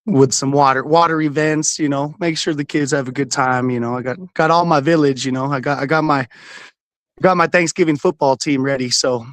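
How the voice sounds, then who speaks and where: A laid-back man, a regular on the network, chatting over a video call and laughing easily. His voice sits a little high for a man, and the sound is dry with no room around it. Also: fairly even intonation, a little fast, a bit bright, no echo. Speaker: podcast guest, regular of the network. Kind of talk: casual sports podcast chat.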